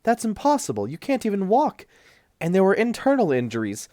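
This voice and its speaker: A man voicing a brisk, officious female nurse in an audiobook, prim and insistent. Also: high-pitched, huge pitch swings, mellow, no room sound, clean studio sound, pitched above the performer's own voice. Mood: flustered, insistent. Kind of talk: audiobook character dialogue.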